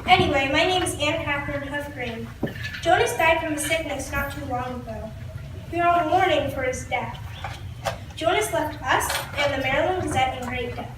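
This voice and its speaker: A woman's voice: high voice, moderate pace, close to an everyday voice. A woman playing a widowed colonial printer, reading a prepared script and tripping over words now and then. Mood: earnest. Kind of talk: first-person historical role-play. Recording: roomy, distant mic, noisy background.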